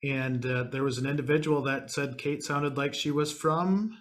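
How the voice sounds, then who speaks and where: Male podcast host, Wisconsin accent, easygoing, trips over his words, mid pitch. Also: fairly even intonation. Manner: halting, many uh fillers, laid-back. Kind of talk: casual podcast chat.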